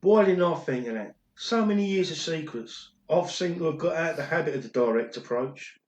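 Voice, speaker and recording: A man's voice: on the high side, animated, fairly quick, a little mellow, highs cut off, lightly acted. Guy acting as older washed up pop drummer telling his story, wistful, echoey room, radio play. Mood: sheepish. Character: ageing former pop drummer confessing an old secret, regretful. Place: recorded alone at home, indoors. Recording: roomy home recording.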